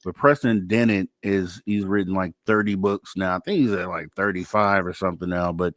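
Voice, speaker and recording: A man's voice: deep voice, steady tone, normal speed, dry recording, clean studio sound. A man hosting a live radio talk show in a casual, informal, effusive way.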